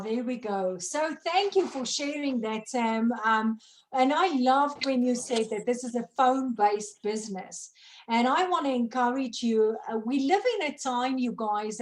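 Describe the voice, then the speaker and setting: A woman's voice: on the high side, poor audio. A woman from hosts a business video call and introduces her guests with friendly enthusiasm. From South Africa. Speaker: host.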